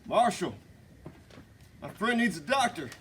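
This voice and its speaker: A man's voice: high voice, big pitch swings, unhurried, fairly bright tone, a little hiss or hum, full character voice. A man reading a desperate young outlaw at a script table read, urgent and pleading. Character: desperate young male outlaw turning on his gang.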